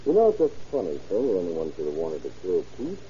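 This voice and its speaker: An actor playing an inquisitive, courteous American sheriff's deputy in an old-time radio drama. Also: male voice, deep voice, huge pitch swings, fast talker, mellow. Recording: muffled, noisy old radio recording.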